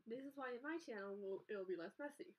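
A woman of about twenty chatting excitedly while dyeing eggs, squealing and laughing often, recorded on a camera mic in a small bedroom. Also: on the high side, fast. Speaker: co-host, college student.

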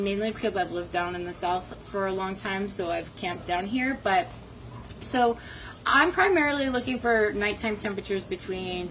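A woman's voice: mid-range voice, fairly bright tone, poor audio. Woman, 30s, workshop host, casual instructional chat with ums, phone mic outdoors, faint ambient noise. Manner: conversational, frequent ums and restarts. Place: covered porch outdoors by a brick wall. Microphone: phone camera at arm's length.

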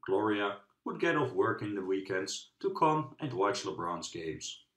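Male storybook narrator, very varied intonation, measured dramatic phrasing, echoey room. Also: on the low side, sing-song, fairly bright tone. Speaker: storybook reader. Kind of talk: children's book read-aloud narration. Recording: roomy, echoing sound.

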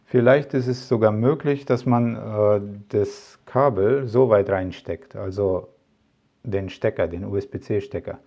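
A man's voice: fairly low pitch, slow, mellow, highs cut off. A man talking casually to camera as a tech vlogger, rambling with many fillers and asides. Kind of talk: casual product talk to camera.

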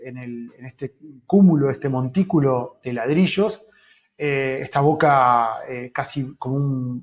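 A middle-aged man with an Argentine accent from Rosario gives an online architecture lecture in a halting, reflective way with long pauses. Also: a little high. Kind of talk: online lecture on own work. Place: small book-lined room indoors. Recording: dull, lo-fi web-call audio.

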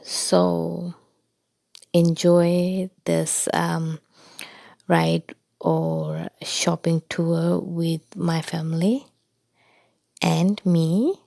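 A woman from India casually narrating a drive in a car, speaking slowly and softly with many pauses and fillers. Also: lowish voice, level tone, creaky, no room sound, clean studio sound. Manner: slow, soft-spoken, many ums and uhs. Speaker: vlogger. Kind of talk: casual narration over driving footage. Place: inside a car.

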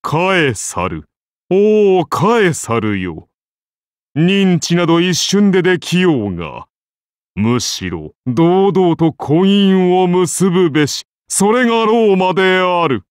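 A man's voice: high-pitched, sing-song, slow, clear, resonant tone, no room sound, clean studio sound, exaggerated voice. A man voicing a majestic, benevolent Roman founder-king turned god in an anime game, with grand, solemn, archaic declamation. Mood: grandly exclaiming. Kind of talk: anime game character voice lines.

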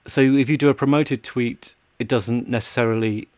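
A man's voice: mid-range voice, fairly even intonation, moderate pace, darkish tone, dry recording. A man in his thirties explaining things in a casual tech chat, speaking into a close mic in a small room. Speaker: podcast panellist. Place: small home room with shelves.

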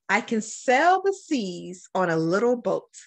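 Animated American woman reading aloud, slow pace. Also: mid-range voice. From Detroit, United States. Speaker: school principal reading aloud to children. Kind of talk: storytime picture-book read-aloud. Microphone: webcam at about arm's length.

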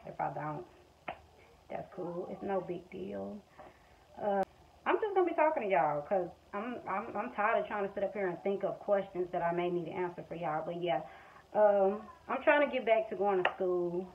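A woman's voice: medium pitch, expressive, normal speed. A woman in her twenties with an American accent, chatting casually and frankly off the cuff in colloquial speech. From Missouri, United States. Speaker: vlogger, stay-at-home mother. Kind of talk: casual off-the-cuff chat to camera. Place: small room at home, indoors. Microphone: phone at arm's length or propped nearby. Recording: dull lo-fi phone audio, faint background noise. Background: household background noise.

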